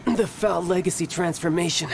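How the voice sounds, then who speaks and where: An actor playing a confident, battle-hungry young male villain in a video game cutscene, speaking in a measured, formal and smug manner. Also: sits high, gravelly, slight background noise. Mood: smug, composed.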